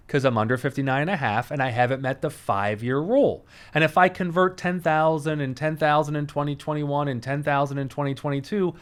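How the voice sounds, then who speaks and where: A man hosting his own explainer channel, talking straight to the viewer in an upbeat, direct way and working through examples step by step. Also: on the high side. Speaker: financial educator, channel host. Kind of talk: explainer video to camera.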